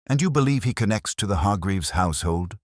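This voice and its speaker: A man voicing a detective's earnest, questioning companion in an audiobook, measured and formal. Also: on the low side, animated, a little mellow, no room sound, clean studio sound, voice pushed up.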